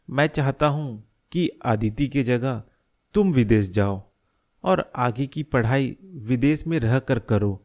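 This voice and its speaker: Slow-talking earnest father, acted by a man. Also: medium pitch, darkish tone, lightly acted. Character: worried middle-aged father scheming to protect his family. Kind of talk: Hindi animated moral story dialogue.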